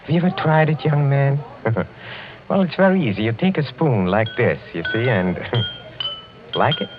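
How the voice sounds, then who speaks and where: An actor playing a suave, sinister older European count in 1940s radio style, courtly and insinuating, halting and chuckling softly. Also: male voice, medium pitch, lively intonation, close to an everyday voice. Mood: playful, eccentric. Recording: muffled old 1940s radio recording, faint music.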